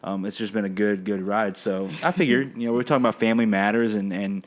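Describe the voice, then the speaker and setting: A man's voice: lowish voice, fast talker. A young man speaking in a friendly, informal, encouraging way as a pastor hosting a family chat. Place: family kitchen.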